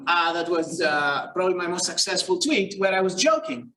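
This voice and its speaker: A man's voice: high voice, lively intonation, moderate pace, sharp tone, a little hiss or hum. A man with a Greek accent giving a casual conference lecture, with frequent fillers and self-corrections. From Greece. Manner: casual, self-deprecating, many fillers and restarts. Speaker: keynote speaker, researcher. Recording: roomy, distant hall sound.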